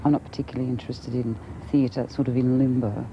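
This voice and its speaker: A woman's voice: rumbling low, little pitch movement, fast. An Australian woman giving informal opinions on theatre in an interview, with outdoor traffic behind. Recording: dull, very noisy outdoor recording.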